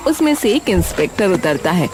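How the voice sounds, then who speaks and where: A woman's voice: medium pitch, very animated, brisk, background noise. A woman narrating a scripted story as its storyteller.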